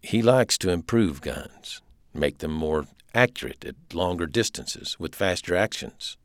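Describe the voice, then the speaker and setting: A man's voice: low-pitched, lively intonation, unhurried, no echo, studio quality. A man voicing a young American gunsmith held prisoner in an audiobook, guarded, respectful and subdued. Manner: muttering, soft, subdued.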